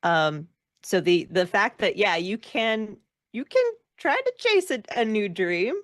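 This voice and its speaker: A woman speaking casually as an interview guest, reflective and self-deprecating, with an animated way of talking. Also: medium pitch, a little slow, dry recording, studio quality. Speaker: guest, actor and performer.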